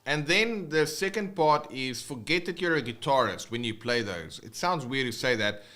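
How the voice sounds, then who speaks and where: Bright-voiced South African man. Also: mid-range voice, animated, normal speed. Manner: loose and rambling, many fillers and restarts. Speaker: guitar teacher. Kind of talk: casual livestream teaching.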